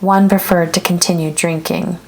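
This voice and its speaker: A woman narrating a children's book aloud in an expressive storytelling style. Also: fairly low pitch, moderate pace, low-quality recording.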